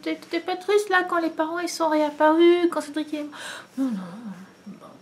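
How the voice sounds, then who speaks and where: A woman's voice: extremely high pitch, steady tone, normal speed, a little mellow. A woman in her thirties from France chatting casually to camera at home, rambling with many fillers and restarts. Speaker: knitting vlogger. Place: living room at home, indoors. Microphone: camera in front, head and shoulders.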